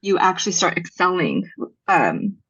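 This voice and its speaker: Low-voiced woman telling family stories. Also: very animated, moderate pace, a bit bright, slightly rough. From Los Angeles, USA. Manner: storytelling, frequent ums and likes, laughs easily. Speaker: podcast guest, brand founder. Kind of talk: casual interview chat. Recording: dull muffled podcast audio.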